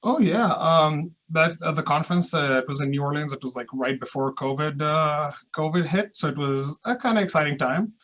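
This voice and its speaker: A man's voice: on the high side, normal speed, few pauses, a bit bright. A man from Pakistan, a research scientist, answering interview questions in a hesitant way with many uhs and restarts.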